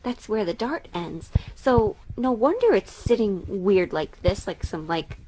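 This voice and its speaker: A woman's voice: mid-range voice, sing-song, unhurried, mellow, a little hiss or hum, rough recording. A woman sewing vlogger talking through her project, part narration and part casual chat.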